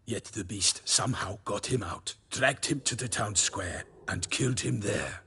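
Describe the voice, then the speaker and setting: A man's voice: fairly low pitch, unhurried, sharp tone. A man voicing a proud, chivalrous knight errant in a fantasy video game, florid and dramatic, over background noise. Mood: grave, dramatic. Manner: florid, dramatic, formal storytelling.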